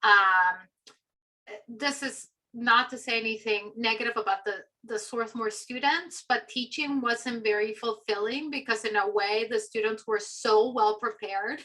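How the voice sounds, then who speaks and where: A woman's voice: on the high side, a little slow, bright, forceful tone. A middle-aged woman from Peru, a philosophy professor, chatting reflectively about her path with many fillers. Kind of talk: conversational personal story.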